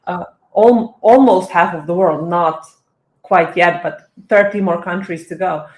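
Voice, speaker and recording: A woman's voice: fairly low pitch, unhurried, darkish tone. A woman from Serbia, a guest talking earnestly and often restarting her sentences. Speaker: guest, event organizer. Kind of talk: casual interview talk. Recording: echoey room.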